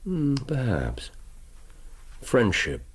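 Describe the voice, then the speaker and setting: An English actor playing a brilliant, aloof male detective in a radio drama, speaking in a measured, ironic way. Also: mid-range voice, wildly swooping pitch, slightly rough, full character voice. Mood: wry. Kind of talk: 1990s radio drama acting.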